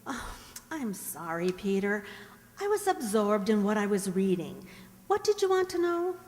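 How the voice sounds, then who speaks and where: A woman's voice: medium pitch, big pitch swings, moderate pace, a little mellow, natural voice. A woman playing a bookish, principled young frontier woman, an earnest and instructive elder sister in a radio play. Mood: distracted, patient. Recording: reverberant hall-like room.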